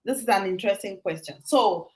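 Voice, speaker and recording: Middle-aged woman, interview guest. Animated, laughs often, loose conversational delivery. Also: mid-range voice, a little fast. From Nigeria. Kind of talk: casual interview talk.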